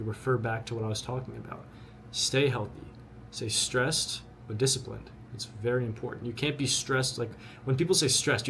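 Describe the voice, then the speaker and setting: A man's voice: medium pitch, fairly bright tone, slight background noise. Earnest twenty-year-old man, blunt. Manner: earnest, blunt, repeats himself often. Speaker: vlogger. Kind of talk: motivational talk to camera.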